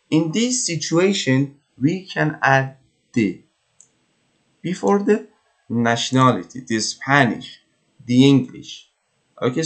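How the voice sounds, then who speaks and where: Male English teacher, mid-pitched, deliberate slow pace, textbook walkthrough. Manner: slow, careful, repeats words for pronunciation. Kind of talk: vocabulary lesson walkthrough.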